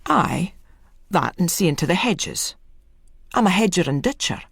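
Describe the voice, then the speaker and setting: An old Scottish countryman, acted by a woman storyteller, proud and a little cracked, with a gravelly voice that swoops around. He talks in folksy dialect with matter-of-fact pride, as in a dramatic audio story. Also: on the low side, sing-song, unhurried, dry recording, clean studio sound, pitched above the performer's own voice, exaggerated voice. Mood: plain, obliging. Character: old rustic male hedger, proud, eccentric asylum leaver.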